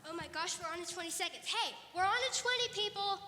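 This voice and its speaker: A woman's voice: extremely high pitch, fast, sharp tone. A woman playing an anxious, exasperated radio show assistant in a stage comedy, urgent and dramatic. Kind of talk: theatrical stage comedy acting. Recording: echoey hall, faint background noise.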